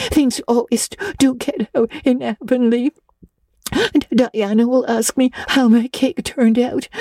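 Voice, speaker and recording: A woman's voice: sits high, mellow, dry recording, clean studio sound, pitched above the performer's own voice. A woman voicing a melodramatic, anguished young schoolgirl in a storybook, stammering and sobbing her words.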